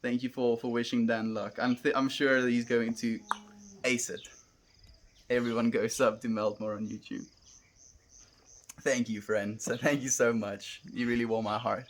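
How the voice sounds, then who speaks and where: A young South African man chatting on a live stream outdoors, earnest and gentle, calling his viewers friend. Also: mid-range voice, flat delivery, normal speed, slight background noise. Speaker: livestreamer. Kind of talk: casual live stream chat reading comments. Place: outdoors, wind and cows nearby.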